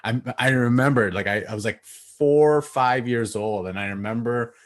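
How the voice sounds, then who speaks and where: A man in his late thirties, born in Bangladesh, chatting casually as a podcast guest over a video call, relaxed and laughing, with lots of fillers. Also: medium pitch, moderate pace. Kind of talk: casual interview chat.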